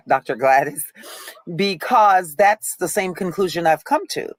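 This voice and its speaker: Low-voiced middle-aged woman hosting a panel. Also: expressive, slow, dry recording. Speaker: panel host.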